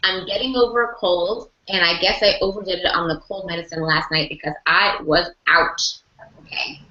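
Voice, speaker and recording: A woman's voice: fairly low pitch, fairly even intonation, moderate pace, echoey room, dull audio. A woman mentoring a group of sign language interpreters, encouraging and talking through examples with lots of rephrasing and repetition. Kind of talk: online group class discussion.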